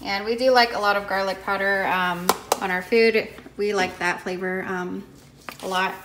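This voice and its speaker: A young woman talks you through her cooking in a home kitchen. She is casual and chatty, fills her pauses with ums, and often restarts her sentences. Her voice sits in a middle pitch, and it is picked up by the camera mic. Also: fairly even intonation, noisy background. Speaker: cooking vlogger.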